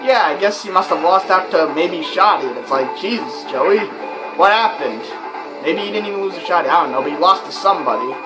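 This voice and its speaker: A young adult talks through a video game in an easygoing, rambling way, full of fillers. The pitch jumps up and down a lot as he reacts. The game's soundtrack plays clearly underneath. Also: expressive, fairly quick, low-quality recording. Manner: rambling and chatty, with many fillers. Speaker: let's play commentator. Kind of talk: casual video game commentary. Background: game soundtrack music.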